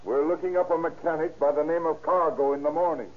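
An actor playing a shrewd, businesslike police captain with clipped speech in an old radio drama. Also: male voice, fairly high pitch, steady tone, fast, lightly acted. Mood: brisk. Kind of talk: 1930s-40s radio acting. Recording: muffled, noisy old radio recording.

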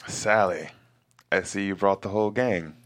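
An actor at a table read playing a smooth, kind radio station executive who speaks in a calm, measured way. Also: male voice, deep voice.

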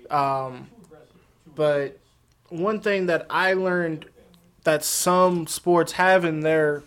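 Slightly high-voiced man explaining at length. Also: drawn-out words, a bit bright, smooth. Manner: explanatory, long-winded building of hypotheticals. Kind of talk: casual podcast discussion.

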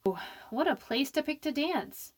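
A woman's voice: high-pitched, lively intonation, moderate pace, very noisy. A woman playing a brash, wisecracking young woman in an old-time radio drama, slangy and blunt. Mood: defiant, exasperated.

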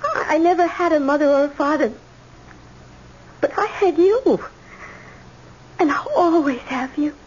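High-voiced earnest young granddaughter. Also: female voice, measured pace, pauses often, darkish tone. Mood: tender, emotional. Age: young adult. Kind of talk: old-time radio drama acting. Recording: muffled, noisy old radio recording.

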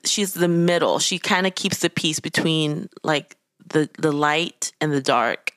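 A woman's voice: deep voice, measured pace, a bit bright, no room sound. A young woman from the Philippines co-hosting a podcast, chatting casually and rambling as she searches for her words. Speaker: podcast co-host. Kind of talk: casual chat.

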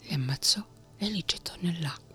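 A woman whispering a fairy tale in a soft ASMR reading. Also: on the low side, animated, normal speed, soft background music. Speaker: storyteller.

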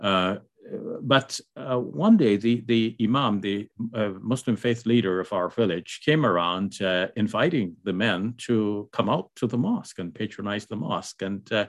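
An older man from Guyana, a guest scholar, answering interview questions in a measured, hesitant way with many fillers. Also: on the low side, lively intonation.

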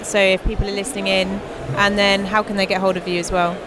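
A woman hosting a podcast, asking brisk, encouraging questions at a conference. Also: mid-range voice, flat delivery, noisy background. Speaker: podcast host, interviewer. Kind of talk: roving interview at a conference. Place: conference hall. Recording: busy crowd at a conference.

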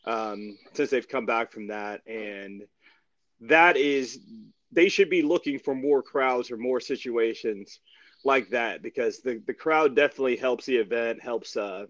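Male podcast co-host, casual sports recap, mid-pitched voice, halting delivery with repeats, slightly lo-fi audio. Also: fairly bright tone, no room sound.

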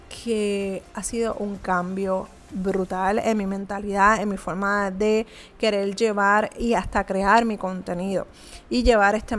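A woman's voice: medium pitch, fairly bright tone. A woman, a small business owner giving earnest, conversational motivational advice straight to the viewer, with frequent hesitant fillers. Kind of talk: motivational vlog talk to viewer.

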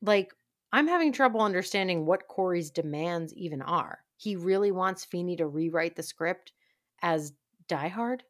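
Woman cohost, lowish voice, dry close mic, wry chatty recap. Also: expressive, normal speed, no echo. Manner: wry, chatty, many "like" fillers. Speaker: podcast co-host. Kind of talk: casual recap chat.

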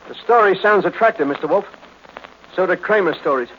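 A threatening, agitated man in his thirties from an old radio mystery. His voice is high and insistent, on a dull, slightly noisy recording. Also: lively intonation, fairly quick, a bit bright. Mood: menacing. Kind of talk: old-time radio detective drama acting.

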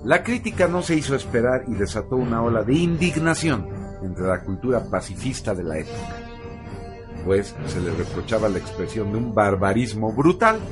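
A male radio host reading a scripted segment in an emphatic, expressive way, over background music. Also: on the low side, moderate pace.

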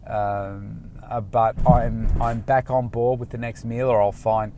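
A man's voice: mid-range voice, flat delivery, takes it slowly, background noise. A man, a fitness coach talking frankly and casually from his car, rambling with many restarts. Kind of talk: casual motivational talk to camera. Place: inside a car.

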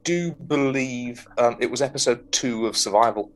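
A man with an ordinary mid-range voice co-hosts a relaxed podcast chat. He pauses often with ums and thinks aloud as he speaks. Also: medium pitch. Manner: hesitant, lots of ums. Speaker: podcast co-host. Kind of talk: casual chat.

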